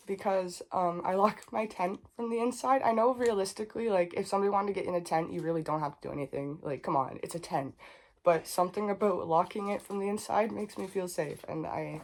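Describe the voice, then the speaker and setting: A woman, chatting casually and unfiltered to camera in a packing vlog, a little sniffly and raspy from a cold. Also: on the low side, darkish tone, a little hiss or hum. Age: 20s. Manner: chatty, unfiltered, rambling asides. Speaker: vlogger.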